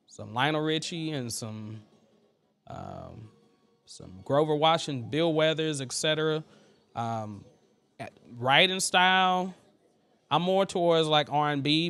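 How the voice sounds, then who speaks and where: Male host, thin but smooth voice, loose and talky, live tutorial feel. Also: mid-range voice, a bit bright. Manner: loose and chatty, full of fillers and asides to the chat. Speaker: host teaching vocal mixing. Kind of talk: casual live tutorial, chatting with viewers.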